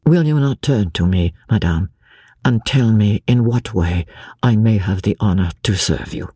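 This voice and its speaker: A man's voice: mid-range voice, very animated, dark tone, breathy edge, no room sound, clean studio sound, full character voice. A man voicing a proud English nobleman who speaks coolly and formally while holding back his feelings. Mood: cold gallant. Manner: cool, measured, formal. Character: proud restrained English nobleman, cold and gallant.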